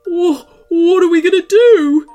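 A woman's voice: sits high, wildly swooping pitch, measured pace, warm tone, soft background music, pitched above the performer's own voice, heavily acted. A woman voicing a timid, nervous male cat in a children's story, given to stammering and astonishment. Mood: alarmed. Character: timid, anxious young male cat, easily shocked.

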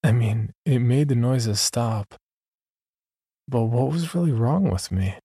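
A man performing scripted first-person horror narration as an uneasy young man, in a measured, confiding way with deliberate pauses. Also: medium pitch, warm tone, breathy edge, no echo, clean studio sound. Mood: reflective, uneasy. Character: uneasy young man recounting his sleep disorder. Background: background music.

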